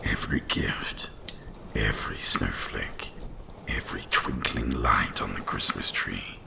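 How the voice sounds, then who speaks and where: A man voicing an ancient, wise dragon guardian of winter in a slow, solemn, gravelly whisper for an ASMR roleplay. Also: pushed and bright, full character voice. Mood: grand, revealing. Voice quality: deep gravelly breathy whisper. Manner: slow, solemn, deliberate delivery.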